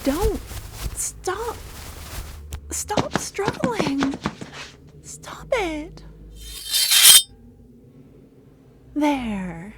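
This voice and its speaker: A woman acts out a loving, possessive female cultist in an ASMR roleplay. Her voice is high and soft, drifting into breathy whispers as she coaxes very slowly. Faint noise sits behind her. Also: exaggerated voice. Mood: frantic, threatening.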